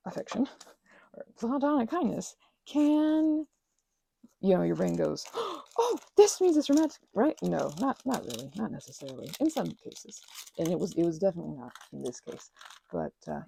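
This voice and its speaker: A woman in her thirties chatting in a soft, rambling way, full of fillers and self-corrections, as an ASMR host. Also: lowish voice, creaky, breathy edge. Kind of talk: rambling personal chat. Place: quiet room at a craft table.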